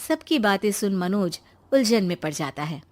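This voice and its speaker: Female narrator for scripted animated folk tales, mid-range pitch. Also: normal speed, slight background noise. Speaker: story narrator.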